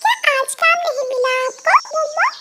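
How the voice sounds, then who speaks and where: A woman's voice: very high voice, normal speed, background noise. A woman voicing a small, innocent child bird in a cartoon, in an eager childlike way. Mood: plaintive, puzzled. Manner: childlike, plaintive.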